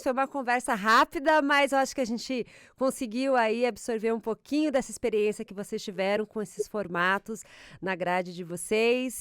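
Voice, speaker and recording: A woman's voice: a little high, smooth, no echo, clean studio sound. A woman hosting an interview podcast, upbeat and affirming as she moves the talk along. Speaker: podcast host.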